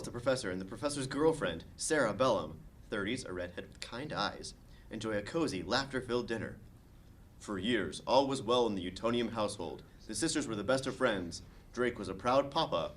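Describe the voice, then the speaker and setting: A man's voice: lowish voice, animated, brisk. A man reading stage directions and narration aloud as the narrator of a comedy script table read.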